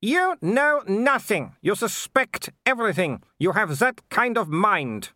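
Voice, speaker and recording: Man voicing a high, haughty werewolf baroness. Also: big pitch swings, unhurried, no echo, studio quality, heavily acted. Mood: icy disdain. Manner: sharp, clipped, snapping delivery. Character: haughty aristocratic older werewolf baroness, a mother, sharp and scornful.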